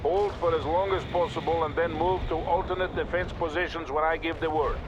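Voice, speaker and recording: A man's voice: fairly high pitch, steady tone, brisk, highs cut off, natural voice. A man playing a stern, composed Soviet field commander with a Russian accent, giving clipped, formal orders in a military video game. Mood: urgent orders. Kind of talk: scripted video game military radio dialogue. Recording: loud battle noise behind. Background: artillery fire, explosions and gunfire.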